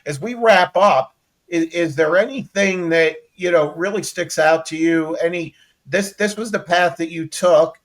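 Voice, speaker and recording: A man's voice: on the high side, a little slow, a bit bright. An American man hosting a casual interview chat in an informal, enthusiastic way. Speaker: podcast host, former radio colleague.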